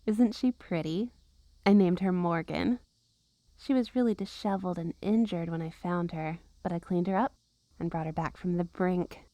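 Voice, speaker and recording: A woman's voice: fairly low pitch, normal speed, warm tone, dry recording, natural voice. A woman playing a possessive, witchy girlfriend in an ASMR roleplay, teasing and cooing with sugary sweetness and an undertone of menace. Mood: playful, teasing. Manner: teasing, cooing, sing-song baby talk to pets.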